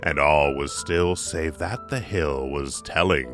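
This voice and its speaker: Male poetry narrator: extremely low, slow, dramatic, swelling and hushing, with music underneath. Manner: theatrical, with big swells and drops in volume. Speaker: poetry reader.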